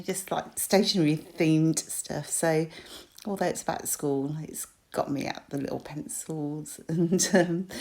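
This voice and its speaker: A British woman in her forties talks through her crafting in a low, slightly croaky voice. She is chatty and self-deprecating, dotting her talk with ums and little laughs. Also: a little mellow. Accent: British English. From United Kingdom. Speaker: crafter and shop designer. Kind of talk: crafting tutorial voice-over.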